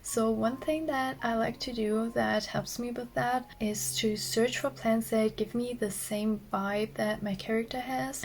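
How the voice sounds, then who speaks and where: Soft-spoken raspy woman vlogger. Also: on the high side. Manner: soft-spoken, often whispering. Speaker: clay artist vlogger. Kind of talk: casual vlog narration.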